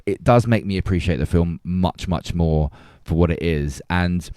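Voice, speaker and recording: Deep-voiced man in relaxed podcast discussion. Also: normal speed, clean tone, no echo, clean studio sound. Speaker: podcast host. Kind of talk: casual film discussion.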